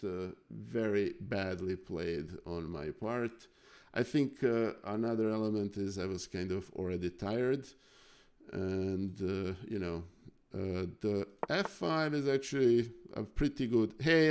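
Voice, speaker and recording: A man talks slowly at a desk microphone, going over chess games on a live stream. His low, gravelly voice stays smooth and clear. He halts often with fillers as he thanks his viewers. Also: a little mellow. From Serbia. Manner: halting, many fillers, thanks chat viewers. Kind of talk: casual live stream chat and chess analysis.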